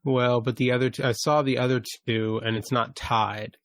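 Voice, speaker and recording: A man's voice: medium pitch, steady tone, normal speed, a bit bright, no echo. A man chatting casually about sports as a co-host on a podcast.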